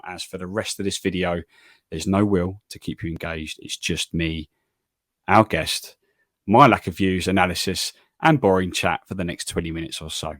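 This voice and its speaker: A man with an English accent hosting a football podcast, talking calmly and evenly in a self-deprecating way. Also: low-pitched, no room sound, studio quality. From England. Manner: calm, even, self-deprecating. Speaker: podcast host. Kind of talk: solo podcast monologue and interview.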